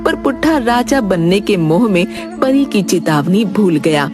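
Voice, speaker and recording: A woman's voice: mid-range voice, very animated, unhurried, over music. A woman reading scripted narration for a comedy cartoon story.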